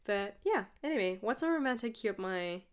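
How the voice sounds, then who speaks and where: A woman's voice: mid-range voice, lively intonation, moderate pace, smooth. A woman hosting a music podcast alone, rambling and enthusiastic, with lots of fillers. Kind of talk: casual solo podcast chat.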